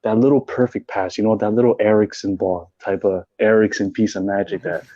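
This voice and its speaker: A man's voice: on the low side, steady tone, fairly quick, mellow, no room sound, muffled recording. A man calling in to a fan show, breaking down the match in earnest, rambling detail. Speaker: caller, football fan. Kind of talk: casual match analysis.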